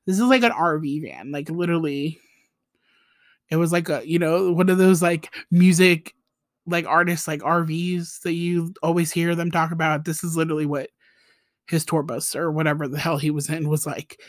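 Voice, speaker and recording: A woman's voice: sits low, steady tone, moderate pace, no room sound, studio quality. Chatty young woman telling a story. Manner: chatty, fillers of "like" and "you know", laughing asides. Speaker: podcast host. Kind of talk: casual storytelling.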